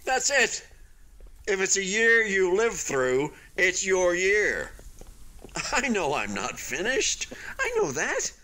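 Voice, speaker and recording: An actor in a radio play voicing an unfinished hotel building, a wistful but stubbornly hopeful man who talks in a halting, conversational way. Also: high-pitched, huge pitch swings, pushed and bright, heavily acted. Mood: upbeat, hopeful. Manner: halting, conversational, with sighs and emphatic swings.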